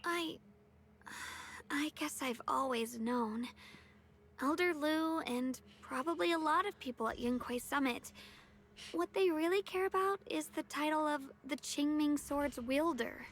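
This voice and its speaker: An actress playing a modest, earnest young swordswoman in a game, soft-spoken and a little hesitant. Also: high-pitched, measured pace. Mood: wistful, modest.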